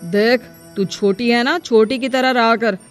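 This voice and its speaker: A woman's voice: a little high, measured pace, soft background music, lightly acted. A woman playing a proud, aggrieved older mother-in-law in a cartoon family drama, complaining and scolding. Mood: stern.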